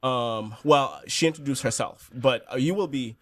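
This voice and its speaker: A man in his thirties from Haiti, a podcast guest telling his life story in a relaxed, joking way with many fillers. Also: mid-range voice, normal speed, dry recording. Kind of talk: casual storytelling.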